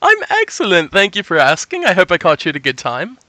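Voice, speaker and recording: Male psychic, forward bright tone, dry sound, effusive and reassuring client patter. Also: high voice, wildly swooping pitch, fast talker, no echo. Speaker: psychic giving a sample reading. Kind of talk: conversational phone reading for an audience.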